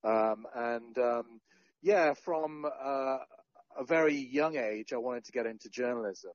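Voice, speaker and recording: A man in his forties chats as an interview guest, easygoing and quick to poke fun at himself. His voice sits at an average pitch and is recorded dry and close, with a slightly dulled, muffled sound. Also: no echo. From Sri Lanka and North Wales. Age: 40s. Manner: relaxed, self-deprecating, joking asides. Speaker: podcast guest, journalist and founder. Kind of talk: casual interview conversation.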